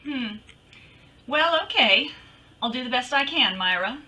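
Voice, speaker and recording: A woman's voice: high-pitched, animated, a little slow, bright, forceful tone, exaggerated voice, low-quality recording. A woman playing a breezy, wisecracking private detective in an old-time radio drama, with a sassy, slangy delivery. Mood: wry, teasing.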